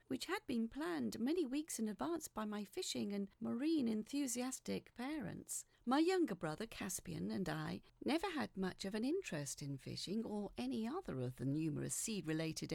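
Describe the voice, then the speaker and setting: A woman narrates a scripted, dramatic first-person story. Her voice is full of gravelly creak and drops softly at the ends of phrases. She keeps a measured delivery, and the sound is dry, with no room around it. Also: mid-range voice, no echo. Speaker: storyteller reading a letter aloud.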